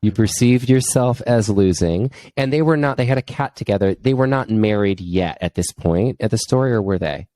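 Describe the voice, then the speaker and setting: A man's voice: lowish voice, moderate pace, few pauses, dark tone, no room sound. A man hosting a coaching interview, speaking directly and cutting in to clarify points. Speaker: life coach host.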